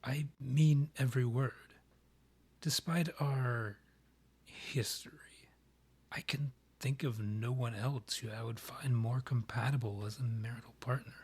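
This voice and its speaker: A man playing a haughty vampire monarch, a proud rival and ex-lover, with formal, measured, archly condescending phrasing in a romantic roleplay audio drama. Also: mid-range voice, steady tone, a little mellow, breathy edge. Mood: earnest, insistent.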